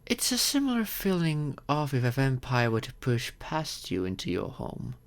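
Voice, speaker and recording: A man playing a courteous, gentle, wealthy old vampire in an audio roleplay, speaking reassuringly and earnestly to the listener. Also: medium pitch, wildly swooping pitch, vocal fry. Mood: patient, explaining. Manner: gentle, reassuring, unhurried with thoughtful pauses.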